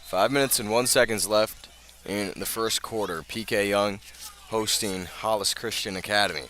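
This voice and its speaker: Bright-voiced American man calling live football. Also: on the low side. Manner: measured scene-setting, rising to urgent calls. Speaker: play-by-play sports announcer. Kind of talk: live radio football commentary.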